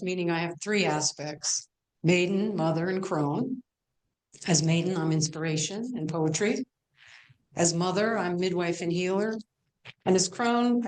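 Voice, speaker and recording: A proud triune goddess played by a woman introduces herself in measured stage-play lines. The voice sits low for a woman and rings in a roomy, echoing space. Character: triune pagan Irish goddess, proud, maiden, mother and crone. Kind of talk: amateur stage play, scripted monologue. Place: church hall, live performance. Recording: roomy, echoing hall sound.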